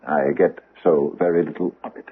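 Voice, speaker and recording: An actor playing an aging, pompous judge with hesitant, formal phrasing in an old-time radio drama. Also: male voice, rumbling low, steady tone, moderate pace, husky. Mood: grumbling, dry. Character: aging pompous judge, ponderous, secretive and grumbling. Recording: muffled, band-limited vintage radio recording.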